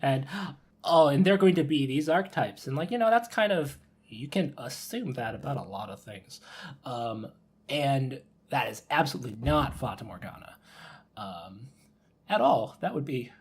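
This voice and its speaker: A man's voice: medium pitch, lively intonation, normal speed, breathy edge. A man in a casual podcast discussion, chatty, often backtracking and repeating his phrases. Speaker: podcast panelist. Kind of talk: casual discussion of visual novels.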